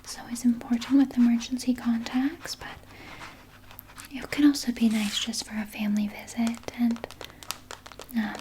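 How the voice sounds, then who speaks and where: Woman acting as female vampire nurse, ASMR roleplay, hushed breathy whisper, slow, soothing yet sinister, faint background noise. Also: a little high, lightly acted, rough recording. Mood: coaxing. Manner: hushed, gently coaxing, soothing. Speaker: ASMR performer playing a nurse.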